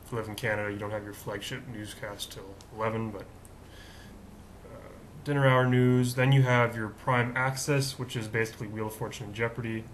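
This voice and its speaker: Deep-voiced guy rambling thru his vlog, lots of ums, jokes at himself, faint rumble behind. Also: fairly even intonation, a little fast. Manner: chatty, self-mocking, thinks aloud with many fillers. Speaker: vlog host. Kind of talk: casual rambling vlog monologue.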